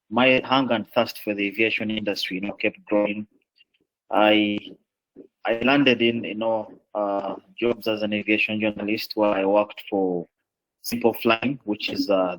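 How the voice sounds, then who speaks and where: A young man with a Ugandan accent speaks in a low-pitched voice. He is a guest on a professional panel, introducing himself and his background. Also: fairly bright tone, rough recording. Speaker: panelist, aviation business development manager.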